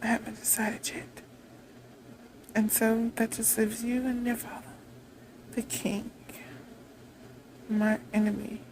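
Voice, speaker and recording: A woman playing a haughty, vengeful vampire queen in a roleplay monologue, imperious, taunting and deliberate in delivery. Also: on the high side, flat delivery, a little mellow, a little hiss or hum, low-quality recording. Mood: cold, threatening.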